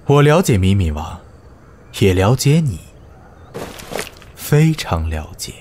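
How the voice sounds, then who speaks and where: An actor playing a proud, guarded former general turned steward in a historical audio drama, speaking curtly and formally. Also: male voice, lowish voice, very animated, slow, darkish tone. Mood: cold, scornful. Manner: curt, formal.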